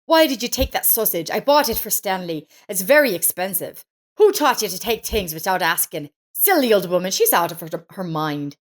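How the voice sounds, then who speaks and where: A woman's voice: medium pitch, lively intonation, moderate pace, lightly acted. A cruel daughter-in-law from an audio story, acted out by a woman narrator. She is indignant and scolding, and speaks in accusations. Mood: raging.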